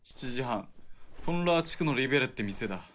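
A man acting in a Japanese radio drama as a gruff, blunt fugitive soldier who is guarded and fussy about appearances. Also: mid-range voice, expressive, a little fast, a bit bright, a little hiss or hum, natural voice. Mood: fussy, exasperated. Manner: terse, blunt masculine speech.

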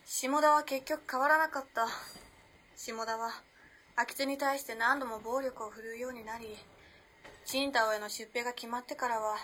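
Poised, high-voiced modern lady. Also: fairly even intonation, moderate pace, pushed and bright, slight background noise. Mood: narrating gravely. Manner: composed, elegant, old-fashioned feminine speech. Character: poised, refined early-1900s modern young woman, knowing. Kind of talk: radio drama acting, storytelling.

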